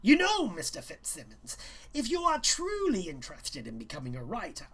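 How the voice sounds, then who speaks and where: A man's voice: high voice, wildly swooping pitch, moderate pace, a bit bright, slightly rough, exaggerated voice. A man voicing a stern, vain male schoolteacher in a storybook reading, speaking in a pompous, condescending and emphatic way.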